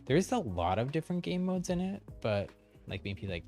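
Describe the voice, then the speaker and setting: A man talking casually as he livestreams a game, thinking aloud in a halting, informal way. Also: fairly high pitch, big pitch swings, mellow, soft background music. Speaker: livestreamer, channel host. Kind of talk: casual game stream commentary and chat.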